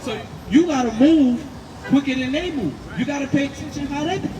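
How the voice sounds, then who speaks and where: A man preaching outdoors, forceful and emphatic, repeating his points. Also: very high voice, a little fast. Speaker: street preacher. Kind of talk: street preaching. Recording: distant mic with loud street noise.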